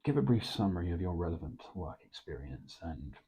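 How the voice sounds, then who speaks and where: A British man playing a composed, formal intelligence officer in a hushed, measured ASMR interview role play, with a breathy voice and muffled recording. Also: sits low, big pitch swings, full character voice. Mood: businesslike, hushed. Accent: British English. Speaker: ASMR performer.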